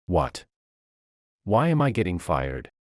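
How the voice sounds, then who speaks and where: Arrogant male banker, read by a man, doubting and indignant, animated lines for an audio story. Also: deep voice, takes it slowly, no echo, clean studio sound, heavily acted. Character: arrogant, disbelieving banker son of the president. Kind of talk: narrated story dialogue.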